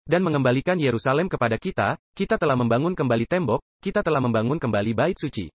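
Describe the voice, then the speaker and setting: A man's voice: mid-range voice, expressive, fast, dry recording. A man preaching a dubbed sermon earnestly, with emphatic rhetorical questions put to his listeners.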